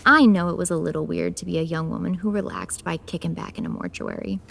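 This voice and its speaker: A woman's voice: fairly low pitch, sing-song, fast talker, gravelly, a bit bright, slight background noise, full character voice. A young woman playing a sardonic loner mortician in an audio drama, with chatty asides to the listener. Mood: wry, confiding. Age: young adult. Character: wry young woman mortician, loner who sees monsters. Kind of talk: first-person audio drama narration and dialogue.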